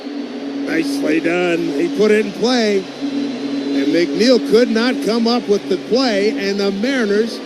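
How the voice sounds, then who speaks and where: A man doing live baseball play-by-play on radio, speaking in clipped phrases that can rise into excited calls. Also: high voice, slow pace, gravelly. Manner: clipped phrases, calls rising to excited shouts on big plays. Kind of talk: live sports play-by-play commentary. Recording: noisy live ballpark broadcast.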